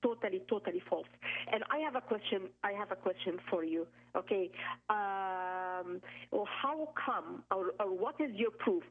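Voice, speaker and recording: A woman's voice: medium pitch, normal speed. Woman caller on a phone line. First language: Arabic. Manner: hesitant, challenging. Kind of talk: call-in question.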